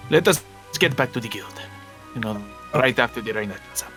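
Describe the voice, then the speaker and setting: A man's voice: medium pitch, lively intonation, brisk, a bit bright, soft background music, natural voice. A man voicing a proud, protective talking cat mage with stiff, formal speech in a fantasy game roleplay. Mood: solemn. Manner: stilted formal speech, avoids contractions. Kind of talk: improvised Minecraft fantasy roleplay.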